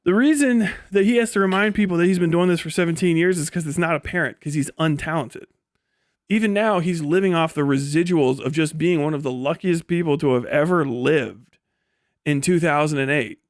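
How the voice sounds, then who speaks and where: A man's voice: fairly high pitch, a little fast, no room sound, studio quality. A man hosting a livestream with casual, unscripted commentary, talking bluntly and opinionatedly with heavy swearing as he responds to chat. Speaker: livestream host reading and answering chat.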